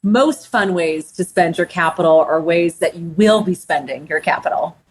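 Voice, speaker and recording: A woman's voice: lowish voice, moderate pace, breathy edge, rough recording. A woman hosting a podcast interview, asking long, rambling questions with enthusiasm. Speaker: podcast host.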